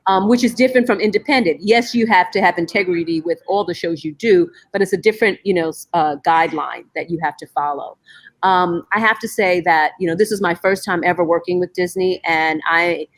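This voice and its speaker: A middle-aged woman from Brooklyn with a slightly low voice speaks over a video call. She talks in a loose, conversational way, full of "you know" and "um". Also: a little fast. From Brooklyn, New York, USA. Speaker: guest, stage manager. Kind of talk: casual interview chat.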